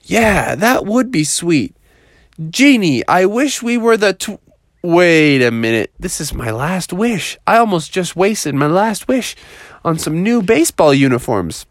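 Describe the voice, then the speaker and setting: An excitable young frog, acted out by a male storyteller, pitched up high and swooping around. He is easygoing and slangy, full of casual enthusiasm for play, like a storybook hero. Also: a little slow, no room sound, studio quality. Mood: excited, bargaining.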